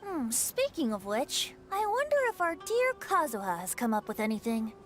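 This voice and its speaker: A woman's voice: on the high side, wildly swooping pitch, normal speed. A woman voicing a playful young male bard in a video game, knowing and theatrical in delivery. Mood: musing. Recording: faint music behind.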